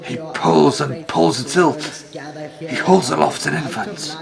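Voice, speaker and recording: Man acting a slow, melodramatic pious father, creaky voice. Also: on the high side, a crowd in the background. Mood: hushed horror. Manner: melodramatic, exclamatory. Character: pious horrified father, narrating, then frantic. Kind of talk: old-time radio play acting.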